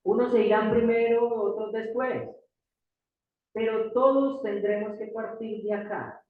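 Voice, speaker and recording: A Colombian man with a Paisa accent preaches with a fairly high voice, leaning on repetition and rhetorical questions. He sounds distant from the mic in a very echoey church room. The sound is thin and narrow, like a phone line. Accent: Colombian Paisa Spanish. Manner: emphatic, repeats key phrases, asks the audience rhetorical questions. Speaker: pastor. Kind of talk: sermon. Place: church hall.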